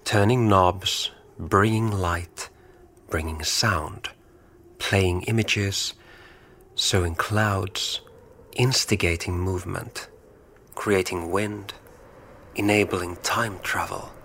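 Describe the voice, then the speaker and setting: A man reading a scripted, poetic introduction in a measured way, dropping some phrases to a whisper. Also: deep voice, steady tone, pushed and bright, a little hiss or hum.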